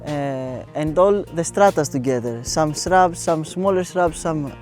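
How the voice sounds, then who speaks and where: A man explaining a volunteer project he coordinates, earnest, pausing with fillers. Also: a little high, darkish tone. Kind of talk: documentary interview. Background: guitar music.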